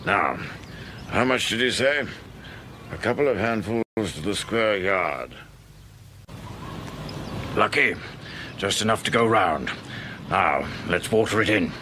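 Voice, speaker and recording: An actor playing a fussy, anxious older English husband in a radio drama, muttering and exclaiming. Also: male voice, fairly low pitch, lively intonation, slow, long pauses, background noise. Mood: pleased muttering. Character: fussy, anxious older lawn-proud husband, prone to panic.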